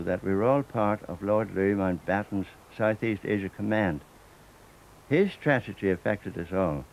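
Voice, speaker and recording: An older man with a Northern Irish accent, reading a scripted documentary narration in a measured, steady way. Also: on the low side, slight background noise, rough recording. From Northern Ireland.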